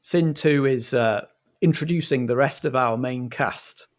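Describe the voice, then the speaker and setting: A man's voice: medium pitch, measured pace. A man acting as game master and director, setting scenes and giving instructions in a theatrical, organised manner.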